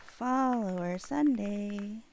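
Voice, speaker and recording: A woman's voice: medium pitch, extremely slow, vocal fry, a little mellow. A woman hosting a livestream, chatting casually and playfully with viewers in a rambling way. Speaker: livestream host. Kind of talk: casual chat with live viewers.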